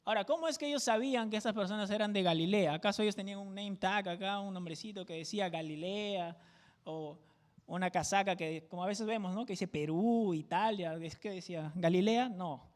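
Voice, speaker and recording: High-voiced man with Peruvian jungle accent. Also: fairly quick, big-room sound. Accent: Peruvian jungle (selva) Spanish. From Peru. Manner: conversational, keeps checking in with tag questions. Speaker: pastor teaching a Bible study. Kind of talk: verse-by-verse Bible teaching sermon.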